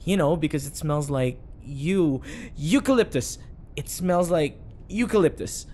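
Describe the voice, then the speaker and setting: A man's voice: a little high, expressive, measured pace, warm tone, slight background noise. Flustered eager bodyguard sidekick played by a man. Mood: flustered, needy. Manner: rambling, flustered, self-correcting. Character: awkward, eager, blurting obsessive bodyguard sidekick. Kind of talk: audio roleplay acting.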